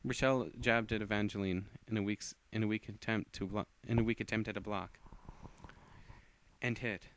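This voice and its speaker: A man's voice: on the low side, fairly even intonation, fairly quick, a bit bright, slight background noise. A man narrating fan fiction as an amateur reader, often stumbling and rereading phrases.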